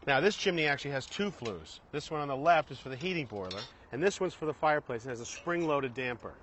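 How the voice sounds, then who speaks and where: A man's voice: medium pitch, lively intonation, fast talker, fairly bright tone, slight background noise. A plain-spoken man hosting a home improvement show, explaining hands-on work step by step in a conversational way. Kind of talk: hands-on how-to demonstration, conversational.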